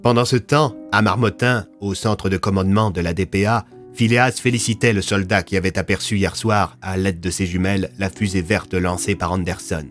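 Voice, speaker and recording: A man narrates a scripted fiction serial as storyteller. His voice is on the low side, and music plays faintly behind it. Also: steady tone, moderate pace, hardly any pauses, a bit bright.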